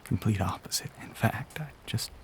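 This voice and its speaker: A man playing a lonely, hungry Southern gentleman vampire in an intimate audio roleplay, speaking haltingly in a breathy voice with a Southern US accent. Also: on the low side, lively intonation, a little hiss or hum, voice pushed down, lightly acted. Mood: hesitant, conflicted. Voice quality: breathy, whispery. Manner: halting, hesitant, drawn-out phrases.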